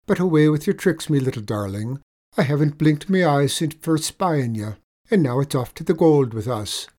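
A man voicing a stubborn, quick-witted Irish tradesman who talks in a hearty brogue. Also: fairly high pitch, animated. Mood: firm. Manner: bold Irish brogue, shouting.

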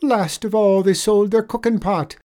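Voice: mid-range voice, fairly even intonation, normal speed, dark tone, voice pushed up, natural voice. A performer voicing a wily little Irish leprechaun man in a storybook tale, coaxing with a mournful lilt. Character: wily little leprechaun man spinning pitiful sob stories.

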